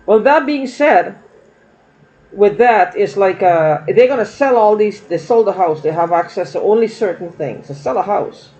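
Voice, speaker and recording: A woman's voice: mid-range voice, expressive, normal speed, dark tone. A woman vlogging in a rambling, aggrieved monologue, circling back and repeating herself. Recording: dull lo-fi recording.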